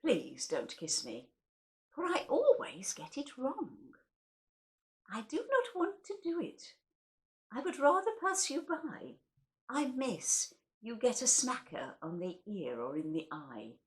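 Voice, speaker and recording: A woman's voice: on the high side, wildly swooping pitch, takes it slowly. A woman reading poetry aloud in a theatrical, wryly comic way, as the host of a recital. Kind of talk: expressive poetry recital with casual introductions.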